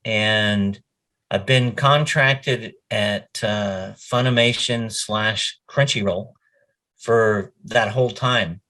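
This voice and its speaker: A man's voice: medium pitch, sharp tone. A man speaking as a voice actor being interviewed, chatting casually and telling stories with quoted bits of conversation.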